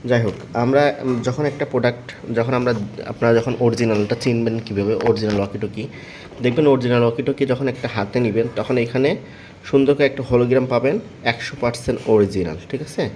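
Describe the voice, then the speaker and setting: A man with a Bangladeshi accent giving an informal product pitch, explaining step by step, repeating himself and often checking that listeners follow. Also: darkish tone, slight background noise. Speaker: seller presenting a product.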